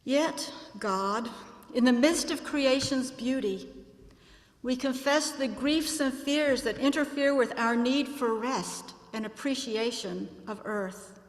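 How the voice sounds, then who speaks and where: A woman with a slightly high voice leads a formal prayer. She speaks very slowly and reverently, and the large hall gives her words long reverb. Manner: solemn, measured, reverent. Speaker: prayer leader. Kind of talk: slow, formal spoken prayer.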